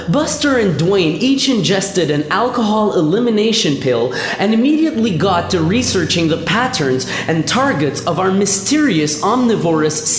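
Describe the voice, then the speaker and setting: A woman's voice: lowish voice, reverberant, long reverb tail. A woman narrating a science fiction radio play in a scripted storytelling delivery. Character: storytelling narrator of a space adventure. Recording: music behind the voice.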